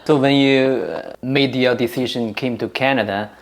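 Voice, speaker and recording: A man's voice: mid-range voice, fairly even intonation, normal speed, gravelly. A male interviewer asking curious questions, with short reactions.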